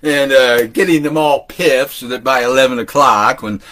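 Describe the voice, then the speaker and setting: An older man performing a theatrical monologue like a beat storyteller, animated and halting, with drawn-out words. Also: medium pitch. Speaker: solo performer.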